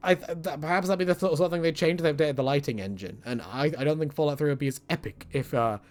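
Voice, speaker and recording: A man's voice: on the high side, quick pace, a little mellow. A man giving casual, joking commentary on video games in a rambling, self-deprecating, tongue-in-cheek way. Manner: swears casually.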